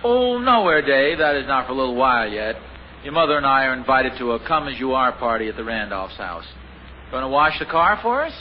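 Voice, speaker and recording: A man's voice: medium pitch, big pitch swings, a little fast, highs cut off, natural voice. A middle-aged man playing a genial, easily flustered family father in a radio sitcom, chuckling and amiable. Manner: chuckling, amiable, a little bumbling. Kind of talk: 1950s radio sitcom acting. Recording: scratchy 1950 radio broadcast with hiss.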